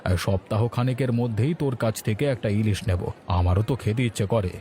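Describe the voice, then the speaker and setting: A man playing a calm, thrifty village man in a cartoon, giving reassuring advice. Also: fairly low pitch, fairly quick, close to an everyday voice. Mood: haggling. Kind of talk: cartoon voice acting, folk-tale dialogue.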